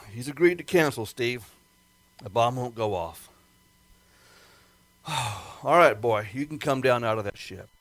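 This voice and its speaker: A man's voice: medium pitch, expressive, a little slow, pauses often, a bit bright. A man acting an earnest government project man in an amateur radio play. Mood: weary, grim. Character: Hank, earnest government project man, urgent then grimly resigned.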